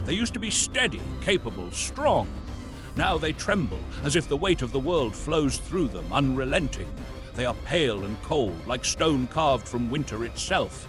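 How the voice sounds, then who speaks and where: A man playing a weary, tormented, sinister old Santa, narrating a horror confession in a brooding, deliberate, theatrical way. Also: mid-range voice, natural voice. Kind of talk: dramatic horror monologue narration. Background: music.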